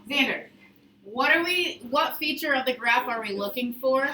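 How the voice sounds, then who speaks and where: A woman teaching high school calculus to a class, instructive, often checking understanding with short questions and prompts. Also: expressive, normal speed, crowd noise. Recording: echoey classroom, distant mic, faint noise.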